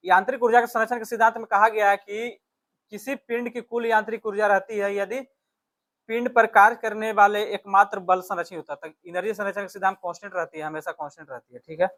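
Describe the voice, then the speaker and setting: Indian guy coaching for exams, pretty high voice, goes thru questions step by step, repeats stuff. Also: flat delivery, quick pace, fairly bright tone. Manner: instructional, repeats key phrases, checks in with short tags. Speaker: teacher, exam coach. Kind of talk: physics exam lesson, working through questions.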